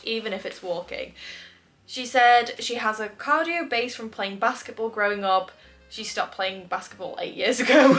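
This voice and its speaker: A woman's voice: a little high, pushed and bright. A woman with a nasal voice from a cold, hosting a chatty video, reading posts aloud and laughing often. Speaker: host.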